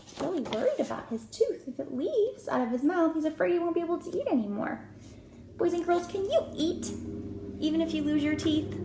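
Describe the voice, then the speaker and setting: A woman's voice: very high-pitched, a little fast. A woman reading a storybook aloud to young children as a teacher, expressively, with chatty asides and questions. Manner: expressive, child-directed, asking questions and commenting. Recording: echoey room with faint noise.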